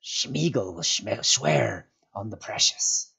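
A man voicing a wretched, cringing, sly creature in a storybook, whining and fawning in a hissing, hoarse whisper with drawn-out, repetitive pleas. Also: fairly low pitch, animated, pushed and bright, heavily acted. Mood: pleading. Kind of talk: storybook character voice.

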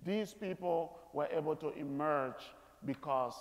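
Man in his thirties, sermon delivery, slow pace, earnest and deliberate, long auditorium reverb. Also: fairly high pitch. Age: 30s. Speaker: guest chapel speaker. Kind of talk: sermon, preaching to a school chapel. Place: large auditorium stage, indoors.

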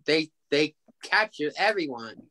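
A man's voice: on the high side, big pitch swings, a little slow, sharp tone. A man on a video call answering questions as a playwright in short, simple, casual phrases.

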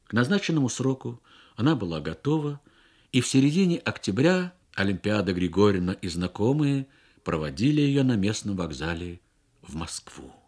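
A man reads a literary short story for radio in measured, expressive phrases with frequent pauses. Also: on the low side. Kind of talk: literary narration, Soviet radio story reading.

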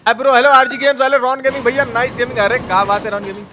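A man's voice: very high-pitched, level tone, racing. A man from India with a Bihari Hindi accent, giving casual, teasing, foul-mouthed live gaming commentary and chatting with viewers. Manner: colloquial, teasing, swears freely. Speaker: video game live streamer. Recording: clearly noisy, game sound behind.

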